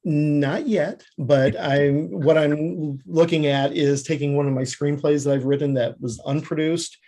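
A man's voice: a little high, fairly even intonation, measured pace, dark tone. A man speaking as an interview guest, explaining his writing work in a conversational way. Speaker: guest screenwriter and fiction writer.

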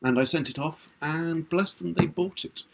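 A man's voice: on the high side, normal speed, vocal fry, a little hiss or hum. A man in his sixties from England, a writer on a podcast, telling his story in a casual, chatty way with hesitant restarts. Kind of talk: casual chat.

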